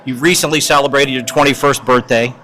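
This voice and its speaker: A man's voice: medium pitch, fairly even intonation, fairly quick, bright, forceful tone. A man hosting a podcast, interviewing a guest in a conversational style. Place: podcast booth at a conference.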